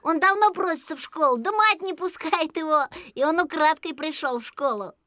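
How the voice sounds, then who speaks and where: A woman's voice: sits high, normal speed, sharp tone, no room sound, studio quality. A woman voicing an eager little village boy in an audio storybook. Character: eager, timid little village boy, boastful when bold.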